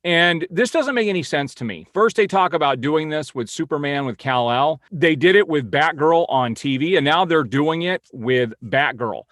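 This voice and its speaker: A man's voice: fairly high pitch, lively intonation, normal speed, few pauses, fairly bright tone, no echo, clean studio sound. A man hosting an informal news update and opinion vlog, speaking in a blunt, opinionated way. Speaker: host of a comic-book film news vlog.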